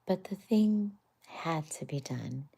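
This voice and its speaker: Woman whispering a stern gloomy badger, husky and dark. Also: deep voice, lively intonation, slow, full character voice.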